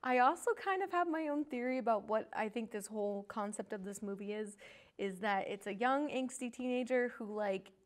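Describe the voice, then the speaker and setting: A woman's voice: a little high, normal speed. A woman guest on a talk show, chatting casually about films in an informal, rambling way.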